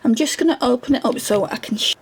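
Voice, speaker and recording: Woman, beauty vlogger, gravelly and fading hoarse, chatty with lots of ums. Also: on the high side, quick pace. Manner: chatty, frequent ums, repeats words for emphasis. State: voice going hoarse. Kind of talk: casual product review.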